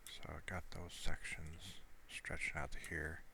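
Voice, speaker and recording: A man giving casual, rambling gameplay commentary in a low-key, halting way, as if thinking aloud. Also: level tone, gravelly, background noise. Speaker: gamer narrating own gameplay video.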